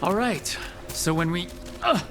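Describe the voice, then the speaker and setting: A man's voice: high-pitched, unhurried, music in the background. A man playing a principled companion with a wry yet earnest manner in a fantasy video game. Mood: questioning.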